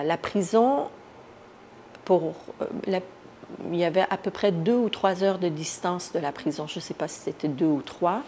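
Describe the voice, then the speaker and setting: A woman with a slightly low voice tells her own story in an interview. She speaks spontaneously and haltingly, feeling for the right word as she goes. There is faint noise behind her. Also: a little mellow. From Iran. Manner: halting, searching for words. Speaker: interviewee, activist recounting her detention. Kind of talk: spontaneous first-person account in an interview. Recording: noticeable background noise.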